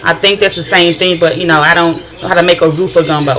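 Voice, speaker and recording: A woman's voice: on the low side, fairly even intonation, quick pace, a bit bright, low-quality recording. A middle-aged woman from northern Louisiana with a country accent, rambling in a chatty vlog monologue and often restarting her sentences. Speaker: vlogger.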